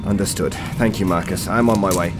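An actor playing a harried, irritable city mayor in a science-fiction audio drama, speaking in a clipped, formal way. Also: male voice, deep voice, steady tone, brisk, music in the background, lightly acted. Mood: grim, weary.

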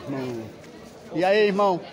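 A man's voice: high voice, animated, normal speed. A man, chatting with vendors and calling out prices as he walks a street market with his phone. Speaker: vlogger touring a market. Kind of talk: casual walking vlog. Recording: loud market crowd around.

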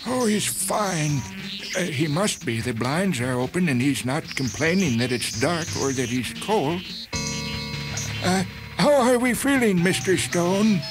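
A man's voice: on the high side, expressive, measured pace, low-quality recording. A man playing an anxious, evasive surgeon with a hesitant, hedging manner in a radio story drama. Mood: nervously reassuring. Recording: music clearly behind.

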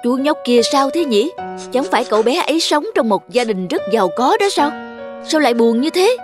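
A soft-hearted cartoon vagabond acted by a woman, pitched on the high side, speaks. The delivery is hesitant and sheepish, with clear music playing underneath. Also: lively intonation, a little fast. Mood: wistful, reflective. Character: kind-hearted, sheepish homeless man, a drifter. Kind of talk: cartoon voice acting. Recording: music behind the voice.

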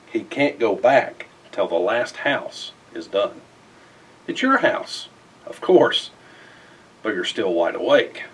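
A middle-aged man reads a rhyming children's picture book aloud in an expressive, theatrical way with emphatic phrasing. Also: fairly low pitch, husky, distant mic, poor audio. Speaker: storybook reader. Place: indoors in front of a festive backdrop.

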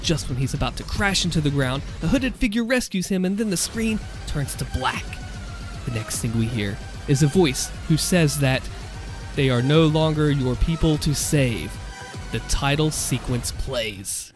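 A man with a slightly high voice narrates for a gaming video. His delivery is deliberate and theatrical, like a dramatic reading. Music plays behind him. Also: expressive.

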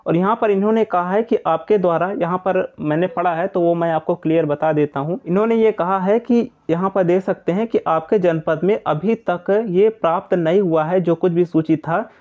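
A high-pitched man explains updates informally to his viewers. He repeats his points in a reassuring way. The audio is narrow and tinny, like a phone line. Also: moderate pace, few pauses. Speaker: exam news presenter.

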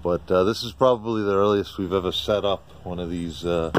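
A man narrating a casual, unscripted walkaround video, speaking in a halting, plain-spoken, colloquial way. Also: deep voice. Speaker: amateur radio hobbyist narrating own video. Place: outdoors in a residential driveway. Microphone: handheld camera or phone, operator off screen. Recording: outdoor ambient noise, birdsong. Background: birds and outdoor ambience.

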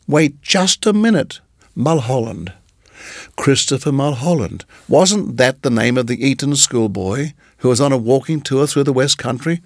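Man reading as a puzzled, earnest young lodger, lively. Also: mid-range voice, moderate pace, no room sound, studio quality. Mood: earnest, searching. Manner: musing, hesitant, courteous. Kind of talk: audiobook character voice.

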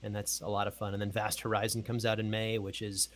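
A man's voice: fairly low pitch, level tone, quick pace. A man in his thirties chatting casually on a video call, telling people about his work. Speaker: audio drama podcast producer, guest. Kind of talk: casual promotional chat.

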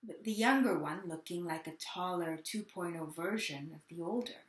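A woman performing a dramatic monologue as a confused, childlike mother with memory loss, halting and full of wonder. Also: on the low side, lightly acted. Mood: wondering, drifting. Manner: slow, halting, wondering delivery with lingering pauses. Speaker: actor in a staged reading. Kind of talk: dramatic third-person monologue, read on book. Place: plain indoor room at home. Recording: roomy home webcam sound.

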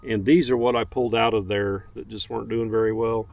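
A man's voice: on the low side, level tone, brisk, creaky, a little mellow, lo-fi sound. A man giving a casual, unscripted garden tour outdoors as a homestead vlogger, in a folksy, plainspoken way. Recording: outdoor recording with faint ambient noise.

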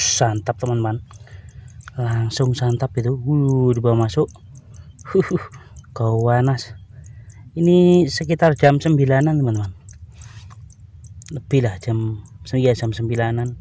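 A man with a warm, mellow voice gives casual running commentary to his viewers while fishing outdoors. He keeps breaking into short exclamations as he works. The sound comes from a camera worn on his body, with faint outdoor noise behind him. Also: medium pitch. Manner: talks to viewers, frequent exclamations. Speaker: fishing vlogger. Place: outdoors by muddy rice field water. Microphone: body-worn action camera.

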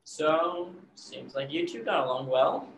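A man's voice: on the high side, lively intonation, unhurried, gravelly. A young man playing a touchy, egotistical artist in a staged play reading, conversational and defensive. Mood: casual. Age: young adult. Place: bare painted-wall room, video call. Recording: echoey room with background hiss.

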